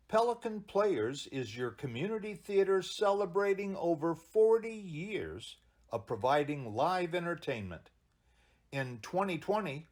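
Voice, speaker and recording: A man reading a scripted promotional announcement as a theater announcer. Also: on the high side.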